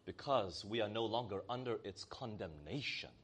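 A man's voice: lowish voice, expressive, normal speed, fairly bright tone, breathy edge. A man preaching a sermon, reading scripture aloud and often asking the congregation to answer amen. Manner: reads scripture aloud in old-style wording.

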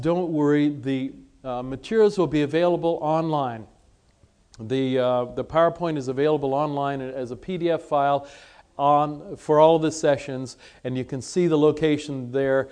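A man's voice: a little high, a little mellow. A man who grew up in Lebanon presenting an informal religious seminar in a conversational, self-deprecating way. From Lebanon, born in Egypt to missionary parents. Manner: conversational, self-deprecating, addresses listeners with questions. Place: conference seminar room with audience.

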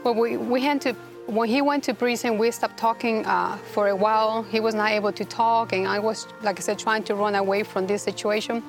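A woman from Rica, a guest telling her story in a halting, earnest way with many restarts. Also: fairly high pitch, fairly even intonation, over music. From Costa Rica. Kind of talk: personal testimony interview.

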